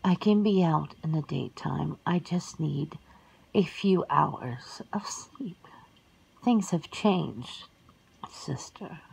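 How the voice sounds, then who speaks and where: A woman voicing a centuries-old vampire sister in an ASMR roleplay, cool and worldly, measured and often slipping into whispers. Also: low-pitched, expressive, darkish tone, exaggerated voice, rough recording. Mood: cool, weary. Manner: measured, breathy, dropping into whispers.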